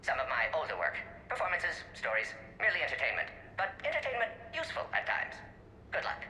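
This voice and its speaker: An actor playing a brisk, eccentric male alien scientist in a video game, speaking in clipped, didactic telegraphic sentences. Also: fairly high pitch, huge pitch swings, pushed and bright, exaggerated voice. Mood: brisk, matter-of-fact. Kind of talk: video game character performance. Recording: echoey recorded message with faint noise.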